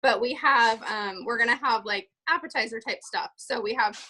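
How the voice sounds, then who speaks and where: A woman's voice: a little high, normal speed, pushed and bright, rough recording. A woman leading a casual planning meeting, chatty and full of fillers as she runs through lists of items. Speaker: event organizer leading a meeting.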